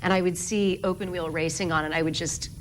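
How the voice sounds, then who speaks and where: Wry, lowish-voiced actress on a talk show. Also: female voice, fairly even intonation, a little fast. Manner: wry, articulate, laughs often. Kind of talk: casual talk show chat. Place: late-night TV studio set. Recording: noisy old broadcast tape.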